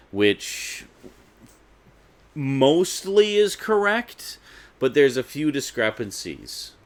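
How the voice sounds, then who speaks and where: Male collector-vlog host, lowish voice, casual and chatty, unscripted delivery with informal asides. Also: fairly bright tone. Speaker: video host reviewing a DVD collection.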